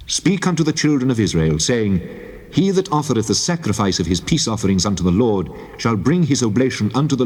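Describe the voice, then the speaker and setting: A man playing the Lord God as a solemn, commanding lawgiver, declaiming in measured, resonant phrases in a dramatized scripture reading. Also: medium pitch, a little mellow, breathy edge. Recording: long cavernous reverb.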